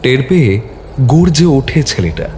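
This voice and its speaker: A man's voice: medium pitch, very animated, a little slow, a little mellow, slight background noise. A man narrating a scripted horror story dramatically, in short, suspenseful phrases.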